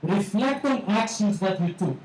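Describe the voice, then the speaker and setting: A man's voice: sits high, lively intonation, darkish tone. Greek-accented man speaking in an echoey hall. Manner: measured, repeats phrases for emphasis. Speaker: teacher giving a graduation speech. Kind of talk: formal graduation speech. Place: large hall at a ceremony. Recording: echoey hall, distant mic, faint noise.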